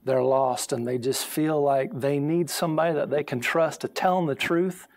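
Gravelly pastor, a man. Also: a little high, steady tone, normal speed, darkish tone. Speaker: pastor, programme guest. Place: TV studio, seated on a sofa. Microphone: lapel mic.

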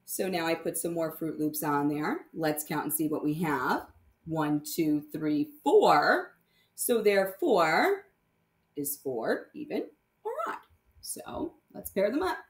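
A woman teaching primary math to young children, reading aloud and explaining deliberately with sing-song emphasis on key words. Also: low-pitched, very animated, echoey room.

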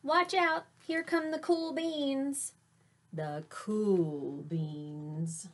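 A woman's voice: medium pitch, fairly even intonation. A middle-aged woman reading a picture book aloud like a teacher, dramatically, drawing out words for effect. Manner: dramatic, with drawn-out words and emphatic exclamations. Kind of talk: expressive children's read-aloud. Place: small indoor space with fabric backdrop.

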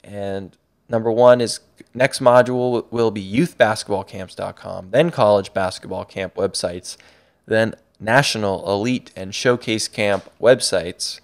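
A man's voice: on the low side, a little slow. A man narrating an online course over slides, upbeat and a little rambling, with frequent restarts. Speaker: course instructor and website creator.

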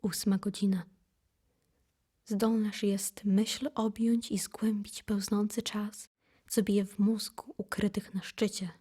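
A woman reciting poetry for an audio drama in an intense, solemn, deliberate manner. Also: medium pitch, flat delivery, warm tone, slightly rough. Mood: hushed, anguished. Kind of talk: dramatic poetry recitation, from whisper to impassioned cries. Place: recording studio.

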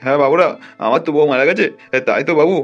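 A man's voice: a little high, animated, very fast, dull audio, faint music behind. A man voicing a sheepish, lecherous village widower in a comic cartoon, pleading in a stammering, evasive, servile way.

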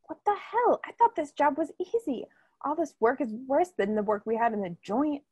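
A woman's voice: high-pitched, big pitch swings, moderate pace, warm tone, dry recording. A woman at a comedy table read playing a brash, wheedling, scheming ex-convict. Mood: brash, surprised.

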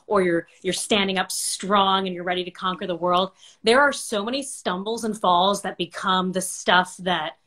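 A woman in her thirties hosting a conversational interview over a video call in an expressive way. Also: medium pitch, moderate pace, few pauses. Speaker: interviewer, host. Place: home room with bookshelves, video call.

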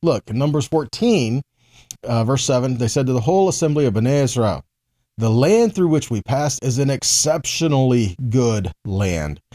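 A man in his forties teaches scripture in a soft-edged, mellow voice at a middle pitch. He moves between reading verses and conversational explanation, stressing key words and putting rhetorical questions to the listener. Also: animated, normal speed. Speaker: Bible teacher.

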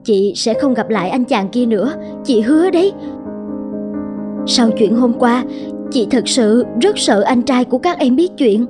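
A cartoon drama sister-in-law with a highish voice. She is an anxious schemer hiding an affair, polite and pleading in manner, over clear background music. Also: animated, a little slow. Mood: pleading, remorseful. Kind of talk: Vietnamese animated drama dubbing.

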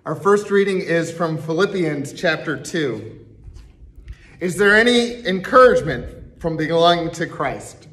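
A middle-aged man, a pastor, reading scripture aloud in a church sanctuary, slowly and deliberately with emphatic pauses. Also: on the high side, lively intonation, fairly bright tone.

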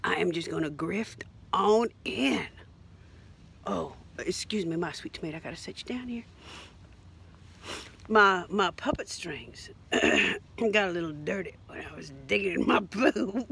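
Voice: mid-range voice, expressive, measured pace, vocal fry, breathy edge, exaggerated voice. A performer voicing a rambling, theatrical, crude mock Southern grifter in an improvised satirical monologue, with drawling, melodramatic repetition. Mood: breathy, woozy, then rambling, confiding. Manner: drawling, melodramatic, repetitive, lurching from whispers to shouts.

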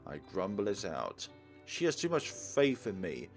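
A man's voice: lowish voice, huge pitch swings, measured pace. A man hosting a let's play, reading visual novel narration aloud and adding casual commentary. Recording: game music behind the voice. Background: visual novel soundtrack.

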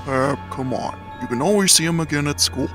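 A man voicing a laid-back, protective eighteen-year-old skeleton big brother in a comic dub, with a gravelly voice and casual drawl. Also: a little high, fairly quick, loud music behind the voice, natural voice. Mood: weary, firm. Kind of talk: dramatic comic dub acting.

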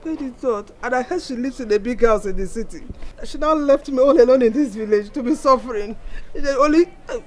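A self-pitying older mother from a Nigerian village wails and pleads in a high voice, as a woman puts her on. She slides between English and Igbo, piling on guilt. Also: brisk, a little mellow, natural voice. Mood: lamenting. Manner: wailing, guilt-tripping, mixes Igbo into English.

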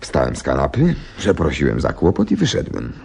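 An actor playing a shrewd, confident detective in a Polish radio play, polite and theatrical in manner. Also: male voice, huge pitch swings, brisk, darkish tone, full character voice. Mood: recounting calmly. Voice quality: very deep, gravelly, husky.

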